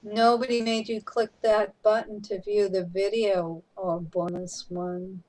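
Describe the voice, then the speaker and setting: Female actor, unhurried mid-range voice: plain-spoken guide and stage-direction reader, scripted amateur radio play. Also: mid-range voice, little pitch movement, darkish tone, close to an everyday voice, poor audio. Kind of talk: deliberate scripted reading, amateur audio play.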